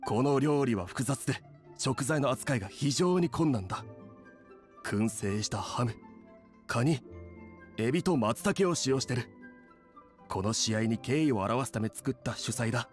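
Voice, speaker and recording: A proud male chef, played by a man, with a mid-pitched voice and animated intonation. He sounds confident and assertive, in game-dialogue style with music underneath. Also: unhurried, long pauses, lightly acted. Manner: earnest, assertive.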